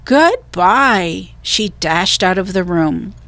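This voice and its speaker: Slow, deliberate woman reading a children's story. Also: mid-range voice, fairly bright tone, dry recording. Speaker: storybook reader. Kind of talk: scripted children's story narration.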